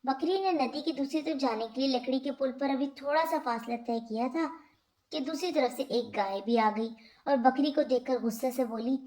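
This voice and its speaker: A woman narrating scripted moral stories for children in a deliberate storybook manner. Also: high-pitched, darkish tone.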